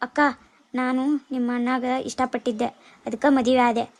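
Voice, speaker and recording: A woman plays a newly married young bride in a family drama. She is defiant and devoted, with a high voice. The intonation stays narrow. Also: little pitch movement, normal speed, darkish tone, lightly acted. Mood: plain defiance.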